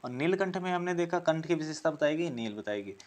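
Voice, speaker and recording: A man's voice: fairly high pitch, lively intonation, fairly quick, darkish tone. A man teaching an exam-prep class, working through questions, asking them aloud, answering them and repeating key phrases as he explains.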